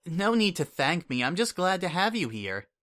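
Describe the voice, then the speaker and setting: A man's voice: sits high, big pitch swings, normal speed, a bit bright, voice pushed up. A man voicing a wry, casual young mare who is on the run. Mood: warm.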